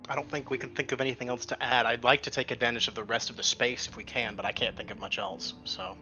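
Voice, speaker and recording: A man's voice: mid-range voice, little pitch movement, fast talker, pushed and bright, highs cut off, faint music behind. A man chatting casually as a player in an online tabletop game, with a dry, joking manner. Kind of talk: casual group chat during an online game.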